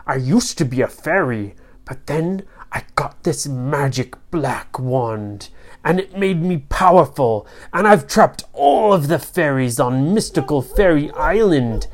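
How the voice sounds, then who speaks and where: A woman voicing a tiny, evil male fairy-sorcerer for a children's story, pompous and gloating, with a drawn-out villain delivery. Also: deep voice, expressive, a little mellow. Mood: gloating menace.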